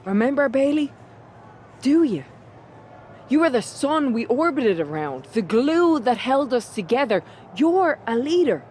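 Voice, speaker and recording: A woman's voice: sits high, very animated, a little slow, darkish tone, slight background noise. A woman playing a determined, sly Irish pro wrestler in a video game story scene. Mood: earnest, pleading.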